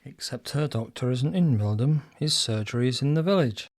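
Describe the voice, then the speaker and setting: A man's voice: medium pitch, animated, moderate pace, a little mellow. A man playing a meek, henpecked shop supervisor in an audio drama, polite and anxious, with a mild, hesitant manner. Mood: uneasy.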